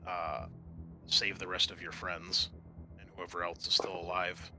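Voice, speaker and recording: A man narrating a tabletop roleplaying game as its game master, halting as he thinks aloud. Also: low-pitched, flat delivery, music in the background.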